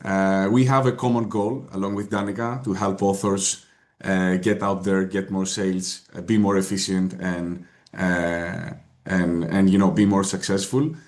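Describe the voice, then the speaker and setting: A man with a Greek accent and a fairly low voice gives a webinar presentation over a video call. He speaks hesitantly, pausing on filler sounds and restarting his sentences. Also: flat delivery, a little mellow. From Greece. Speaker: guest presenter.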